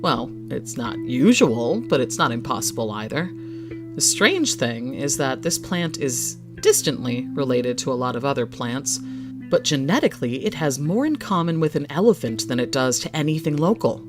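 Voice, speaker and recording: A narrator voicing a curious, patient female scientist who explains in a measured way, as dialogue in a short story. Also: mid-range voice, animated, natural voice. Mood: intrigued. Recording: music behind the voice.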